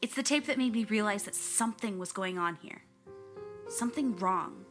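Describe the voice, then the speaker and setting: An actress as a young woman narrating an audio drama documentary over faint music. The medium-pitched voice is earnest and confiding, grave with touches of wry candor. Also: lively intonation, moderate pace, close to an everyday voice. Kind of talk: scripted first-person narration.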